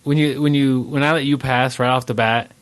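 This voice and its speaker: A man hosts a casual podcast, sounding a little nasal from allergies. His voice sits at a middle pitch, relaxed and full of filler words, with a dry, close sound. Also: fairly quick, no echo. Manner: easygoing, laughing, with filler words. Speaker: podcast host.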